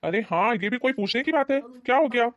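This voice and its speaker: Actor as a fast, bright, exasperated husband. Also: male voice, extremely high pitch, expressive. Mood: exasperated, placating. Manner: placating, exasperated. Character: placating, exasperated husband avoiding family conflict. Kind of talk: animated Hindi family story dialogue.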